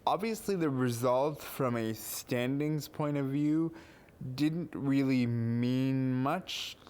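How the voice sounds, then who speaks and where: A man hosting a podcast, asking interview questions in a measured way with some fillers. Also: medium pitch, a little mellow, clear, resonant tone. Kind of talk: scripted intro then interview questions.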